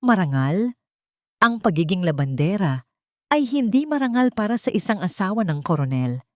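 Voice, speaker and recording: A woman's voice: medium pitch, big pitch swings, moderate pace, darkish tone, no echo, studio quality, lightly acted. A woman voicing a contemptuous, domineering older mother-in-law in a drama story, haughty and scolding. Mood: scornful.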